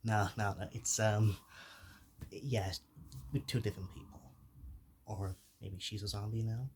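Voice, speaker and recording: Man as a slow, bashful zombie. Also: lowish voice, fairly even intonation, darkish tone, a little hiss or hum, close to an everyday voice. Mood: shy, puzzled. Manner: hesitant, bashful, mumbling. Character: shy, soft, awkward male zombie. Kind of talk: soft-spoken ASMR roleplay.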